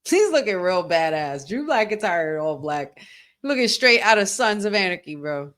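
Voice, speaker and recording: A woman co-hosting a wrestling watch-along, talking in an excitable, chatty fan style with lots of "like". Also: fairly low pitch, normal speed. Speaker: podcast co-host. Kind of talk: casual watch-along commentary.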